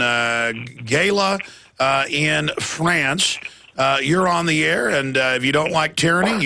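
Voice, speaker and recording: A man's voice: medium pitch, creaky, sharp tone. A man hosting a talk radio show, sarcastic and combative, taking calls.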